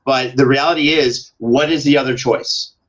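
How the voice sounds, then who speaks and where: Animated male interview guest, dull lo-fi sound. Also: medium pitch, normal speed. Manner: animated storyteller, acts out quoted exchanges. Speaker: podcast guest, audio drama producer. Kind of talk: casual interview chat, anecdotal.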